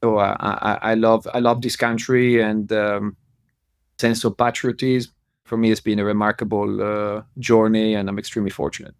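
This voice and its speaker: A man from Italy with a clear Italian accent talks through a headset on a video call. His voice has a gravelly edge, and he laughs easily and fills his pauses with "uh". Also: lowish voice, level tone, no echo, clean studio sound. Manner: warm, laughs often, many fillers. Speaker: podcast guest. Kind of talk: remote interview.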